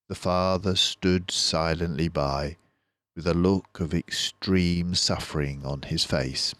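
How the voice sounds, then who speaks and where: A man's voice: low-pitched, no echo. An older man reading a children's book aloud as a storyteller.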